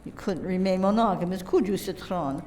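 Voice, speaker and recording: A woman's voice: on the low side, brisk, warm tone, slight background noise, lightly acted. A woman acting in a radio play as a cool, proud businesswoman who is pointed and gossipy. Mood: proud, accusing.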